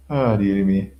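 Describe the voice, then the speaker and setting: An older man with a low, gravelly voice chats loosely to his livestream viewers. He rambles from one thought to the next, repeats himself and drops in casual swearing. He keeps up a steady unhurried chatter at home. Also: dull audio. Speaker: livestream host. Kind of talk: casual solo livestream chat.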